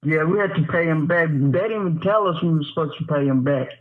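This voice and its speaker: A man in his twenties from Tanzania, calling in over the phone, who tells his story in a halting, rambling way with many fillers. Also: fairly high pitch. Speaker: caller. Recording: tinny phone line.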